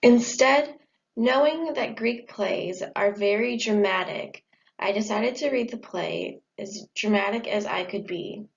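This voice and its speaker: A woman's voice: mid-range voice, measured pace, vocal fry. Young woman presenting a school report. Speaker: student presenter. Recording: dull lo-fi audio.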